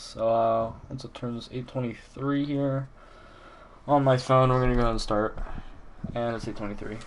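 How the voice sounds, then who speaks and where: A young man with a stuffy, congested voice runs an online esports event. He talks in a flat, level way and often stops on "um." A faint hiss sits behind him. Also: mid-range voice. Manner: hesitant, many "um"s. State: stuffy from a sinus infection. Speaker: tournament organizer and host.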